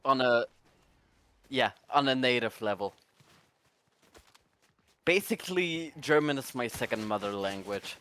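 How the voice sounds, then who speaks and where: A man's voice: medium pitch, expressive, a little slow, pauses often, pushed and bright, clean tone. A man whose first language is Polish, tipsy and laughing as he searches for English words in casual live-stream chat.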